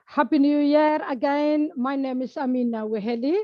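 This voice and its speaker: High-voiced Somali-born woman, dry lo-fi sound. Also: moderate pace, no echo. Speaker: journalist and coach.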